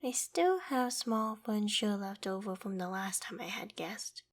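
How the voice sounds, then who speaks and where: A woman's voice: mid-range voice, normal speed, natural voice. A woman voicing a proud, vain ancient dragon in human form for an ASMR roleplay drama, with formal, haughty, theatrical phrasing. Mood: gentle, reassuring.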